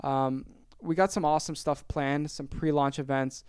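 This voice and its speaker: A young Canadian man hosting his own podcast, rambling casually, repeating his phrases and filling pauses. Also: a little high, fairly even intonation, a little mellow, clean tone, no echo, studio quality. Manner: chatty, rambling, repeats phrases, many fillers. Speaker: podcast host.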